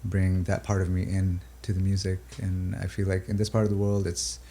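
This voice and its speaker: A low, mellow man with a gravelly edge speaks slowly in a reflective interview. He is a native Urdu speaker from Pakistan and the UAE. He pauses and restarts often as he thinks aloud about his music. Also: monotone. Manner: thoughtful, halting, many fillers and restarts.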